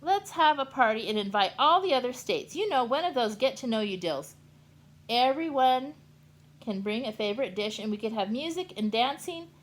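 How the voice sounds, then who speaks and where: A woman's voice: a little high, animated, normal speed, voice pushed up, close to an everyday voice. A woman doing a moaning bored state Kansas. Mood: excited.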